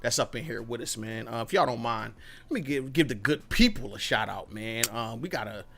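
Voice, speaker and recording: A man hosting a casual gaming podcast, talking loosely in slang with plenty of swearing and shout-outs to his chat. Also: medium pitch, brisk, vocal fry, a loud crowd. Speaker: podcast host.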